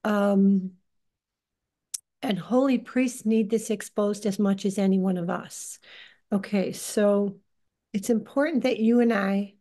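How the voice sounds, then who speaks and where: A woman in her sixties giving informal religious teaching on a group call, speaking in an earnest, deliberate, halting way. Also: mid-range voice, dark tone. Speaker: leader of a lay religious group. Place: living room, indoors. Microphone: computer on a video call.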